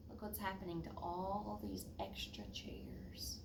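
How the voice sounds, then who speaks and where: A woman teacher reading a children's picture book aloud in an expressive way, with asides to young listeners. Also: on the low side, a little slow, gravelly. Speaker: fourth grade teacher. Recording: roomy, distant mic, faint noise.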